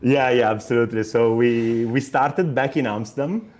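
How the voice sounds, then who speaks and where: A man's voice: medium pitch, normal speed, a little mellow. A young adult man from Italy with an Italian accent, a startup co-founder talking in an interview, hesitant with many restarts and fillers. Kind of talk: conversational interview.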